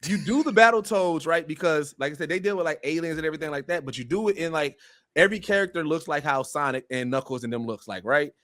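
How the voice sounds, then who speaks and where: A man with a fairly high voice talks casually as a podcast panelist, recorded very dry. He explains things eagerly and uses relaxed slang. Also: quick pace, no echo. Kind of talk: casual chat.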